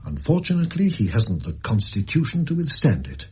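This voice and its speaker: An actor playing a grave, courteous doctor in a radio drama, speaking formally and frankly in a raspy voice. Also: male voice, medium pitch, huge pitch swings, mellow, full character voice. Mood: grave, regretful. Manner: formal, measured, regretful.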